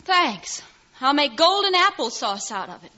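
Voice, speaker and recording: A woman's voice: high voice, big pitch swings, a little slow, very noisy. An actress in a 1940s radio drama playing a wistful, spirited young small-town woman. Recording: dull old radio recording.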